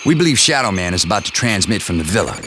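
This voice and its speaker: A man's voice: fairly low pitch, very animated, rapid-fire, very noisy. An actor playing a stern male military commander who gives clipped, authoritative mission orders in a game cutscene. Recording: music bed under the briefing.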